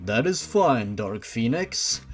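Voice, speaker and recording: A man's voice: medium pitch, big pitch swings, faint music behind, voice pushed down. A man doing a grave, formal supernatural father. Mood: stern, instructing. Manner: formal, measured. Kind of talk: story reading in character.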